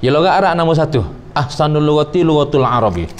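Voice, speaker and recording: A man teaching a traditional religious lesson. He explains slowly, repeats phrases and quotes Arabic before glossing it. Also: on the high side.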